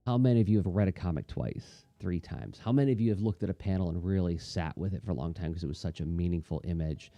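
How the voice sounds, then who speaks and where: A man's voice: sits low, animated, fast, hardly any pauses, mellow, no echo, dull audio. A man in casual, reflective chat, talking in a thoughtful, rambling, conversational way as an artist hosting a livestream.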